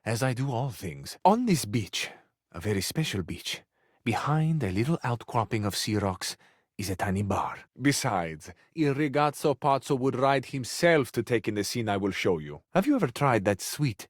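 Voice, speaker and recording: A man's voice: mid-range voice, big pitch swings, normal speed, no echo, studio quality. A man voicing a flamboyant, hopelessly romantic Italian charmer in a video game, with an Italian accent and a florid, amorous delivery that savors each phrase. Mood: playful, affectionate. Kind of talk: theatrical video game character acting.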